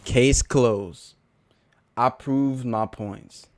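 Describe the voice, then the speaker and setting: A man's voice: mid-range voice, very slow, darkish tone, dry recording. A man giving casual, slangy reaction commentary as a video host, repeating phrases for emphasis.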